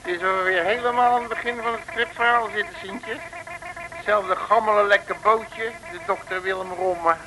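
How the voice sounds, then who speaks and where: An actor playing a pompous, grumbling, bumbling detective in a comic radio serial, with theatrical bluster and colourful oaths. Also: male voice, high voice, fairly even intonation, normal speed, background noise, natural voice. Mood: matter-of-fact.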